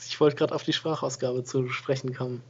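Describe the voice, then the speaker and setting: A man's voice: mid-range voice, brisk, husky. A man co-hosting a gaming podcast, chatting in an easygoing way and often correcting himself. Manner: flat, easygoing, self-correcting. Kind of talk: casual game review chat. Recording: dull lo-fi home recording.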